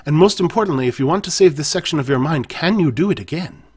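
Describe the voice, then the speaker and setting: A man reading a husky, urgent male guide. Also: a little high, expressive, fast, voice pushed up.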